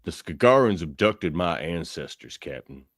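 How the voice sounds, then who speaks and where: Man acting deep-voiced gruff frontier sheriff. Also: lively intonation, moderate pace, dry recording, studio quality. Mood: guarded. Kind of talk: amateur radio theatre acting.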